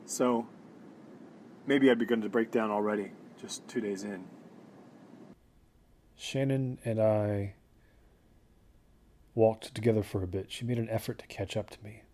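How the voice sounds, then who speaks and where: A man acting as a haunted, unravelling desert hiker who recounts his trek in a halting, introspective monologue with a husky voice. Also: lowish voice, steady tone, a little mellow, a little hiss or hum, lightly acted. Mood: uneasy, haunted. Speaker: first-person narrator of a horror audio drama. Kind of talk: confessional dramatic monologue.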